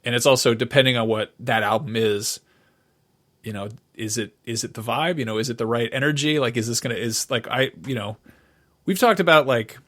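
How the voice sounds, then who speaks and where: A man's voice: mid-range voice, fairly quick, fairly bright tone. A man co-hosting a podcast, chatting casually and informally about the past, with some swearing. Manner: informal, profane. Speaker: podcast co-host. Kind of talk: casual chat, reminiscing about music.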